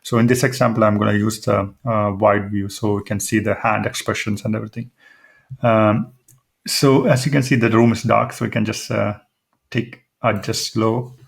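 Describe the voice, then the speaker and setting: Low, creaky, even-toned man giving a tutorial. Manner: halting, hesitant explaining. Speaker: tech tutorial presenter. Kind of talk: informal screen-demo tutorial.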